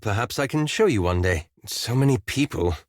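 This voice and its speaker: Man acting a refined, bookish wizard with lively intonation. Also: medium pitch, moderate pace, no echo, clean studio sound, close to an everyday voice. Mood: wistful, gentle. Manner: formal, fussy, polite, dryly reproachful. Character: refined, bookish, cautious male wizard companion, easily exasperated. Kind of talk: fantasy video game voice acting.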